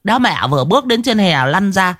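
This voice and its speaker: A man's voice: sits high, sing-song, a little fast, a bit bright, no room sound, clean studio sound, voice pushed up. A man voicing a nosy village woman who gossips eagerly.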